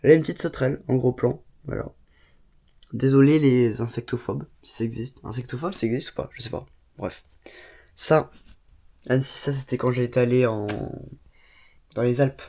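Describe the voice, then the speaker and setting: A man with a cold gives an excited tour of his small home studio. He chats casually and keeps drifting into asides and fillers. His voice sits at an ordinary middle pitch. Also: moderate pace, long pauses. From Bordeaux, France. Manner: chatty, enthusiastic, many fillers and asides. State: has a cold. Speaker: YouTuber showing his studio.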